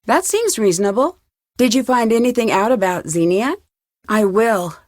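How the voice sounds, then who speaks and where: Actress as a young lady sleuth in a video game, earnest n curious, highish voice, super dry audio. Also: expressive, normal speed, no room sound, close to an everyday voice. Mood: inquisitive, earnest. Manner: earnest, questioning. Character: inquisitive, polite young woman amateur detective. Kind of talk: video game dialogue acting.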